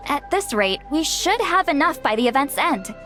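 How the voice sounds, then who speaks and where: High-pitched, self-assured little granny. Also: female voice, big pitch swings, moderate pace. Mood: brisk, proud. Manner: matter-of-fact, self-assured. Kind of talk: video game voice acting. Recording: music behind the voice. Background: music.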